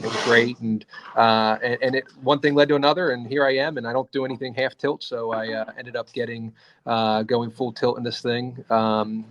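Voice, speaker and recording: A man talks through his story as an interview guest, steady and unhurried. His pitch barely moves, and the recording is dry, with no room sound. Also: no room sound. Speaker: interview guest, jet broker. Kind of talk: casual interview talk.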